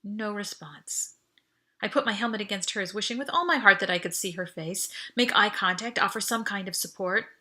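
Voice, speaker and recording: A woman reading first-person audiobook narration in an expressive, urgent, dramatic way. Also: medium pitch, moderate pace. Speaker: author reading own novel.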